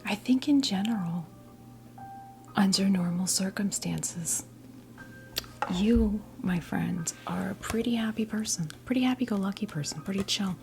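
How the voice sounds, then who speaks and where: A woman in her fifties with an American accent gives an ASMR tarot reading in a breathy whisper. Her delivery is very slow and gentle, with a gravelly crackle at the edges. Music plays clearly behind her. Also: mid-range voice. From New Jersey, United States. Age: 50s. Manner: soothing, conversational, halting and gentle. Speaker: ASMR creator role-playing a witch tarot reader. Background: soft background music.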